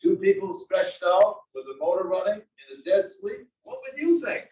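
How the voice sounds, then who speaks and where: A grumbling old husband, read by a man at a comedy table read, exasperated and wry. His fairly high voice swings up and down as he complains. It sounds dull and distant in an echoey room. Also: sing-song, moderate pace, darkish tone. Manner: complaining, exclaiming.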